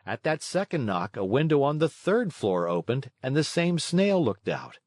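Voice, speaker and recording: A man's voice: mid-range voice, sing-song, moderate pace, dry recording, clean studio sound. A man narrating a children's read-along storybook from a script.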